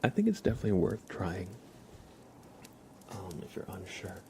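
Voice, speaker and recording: A man hosting an ASMR livestream, chatting gently and informally in a soft, breathy voice that often slips into a whisper, close to the microphone. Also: deep voice, huge pitch swings, moderate pace, background noise. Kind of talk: soft-spoken ASMR, casual live chat.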